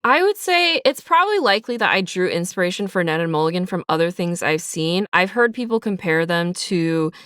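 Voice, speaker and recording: A woman's voice: fairly low pitch, lively intonation, a little fast, few pauses, a bit bright, clean tone, dry recording, clean studio sound. A woman speaking casually in an interview as a writer, chatty and informal, peppering her talk with "like" and "sort of". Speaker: audio drama writer being interviewed. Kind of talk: casual behind-the-scenes interview.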